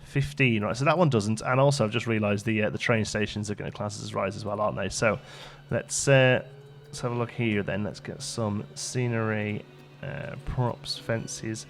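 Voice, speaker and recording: A man's voice: lowish voice, fairly even intonation, normal speed, faint music behind. A man hosting a let's play, chatting casually and thinking aloud as the game goes along. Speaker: gaming video host.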